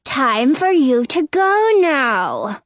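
A woman voicing a sly old lady apple seller in a storybook, drawn-out and sing-song in manner. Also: high-pitched, big pitch swings, fairly bright tone, no room sound, voice pushed up, exaggerated voice. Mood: grumpy, snappish.

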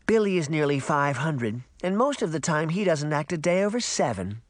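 A sweet, bashful young schoolteacher , as a male narrator plays her, speaking in a lifted, lilting storybook voice. She sounds friendly and soft-hearted, with lively swings in pitch and a dry, close sound. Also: moderate pace, dry recording. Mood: amused. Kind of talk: audiobook character voice.

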